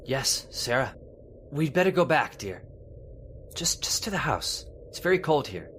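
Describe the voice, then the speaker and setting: A man's voice: medium pitch, big pitch swings, measured pace, fairly bright tone, a little hiss or hum. A man playing an anguished, devoted husband, earnest and pleading, in an old-time radio drama. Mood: urgent.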